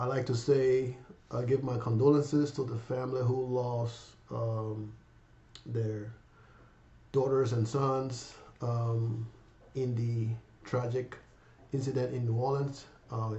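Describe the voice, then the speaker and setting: A man in his fifties with a cold and a raspy voice, hosting a casual finance vlog and rambling to viewers with lots of fillers. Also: medium pitch, level tone, slow. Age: 50s. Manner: rambling, many fillers, addresses viewers as guys. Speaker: finance channel host. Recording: echoey room, dull lo-fi sound.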